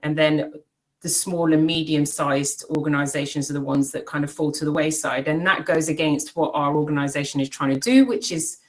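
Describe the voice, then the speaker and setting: A middle-aged British woman, a chief executive, giving an unscripted online presentation, thoughtful and halting with many fillers. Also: sits low, fairly even intonation, poor audio. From Britain and Cyprus. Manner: thoughtful, many ums and restarts. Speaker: CEO of an education organization.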